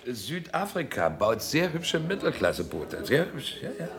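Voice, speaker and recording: An actor playing a jovial, tactless, flirtatious society guest in a German radio drama, repeating himself in small talk. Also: male voice, medium pitch, big pitch swings, unhurried, very noisy. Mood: jovial, patronizing. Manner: chuckling small talk, repeats his phrases.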